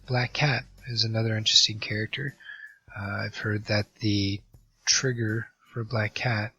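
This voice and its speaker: A hoarse man with a cold commentating an online card game match, reading out card text with many halting fillers. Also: lowish voice, sharp tone, dry recording. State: hoarse from a virus. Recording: dull lo-fi mic with faint music.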